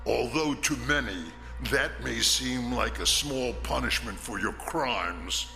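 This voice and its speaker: An actor playing a stern, menacing man of authority in a prison drama, with a forceful, condemning delivery. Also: medium pitch, slow pace, gravelly, soft background music, heavily acted. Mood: stern condemnation. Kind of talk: dramatic scripted film acting.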